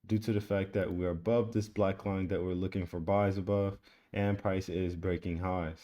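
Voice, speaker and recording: A man with a low, mellow voice talks through a price chart in a relaxed, repetitive way. He keeps a flat, even tone as he points out levels and entries, like a casual screen-share lesson. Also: normal speed. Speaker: trading educator. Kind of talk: screen-share trading tutorial.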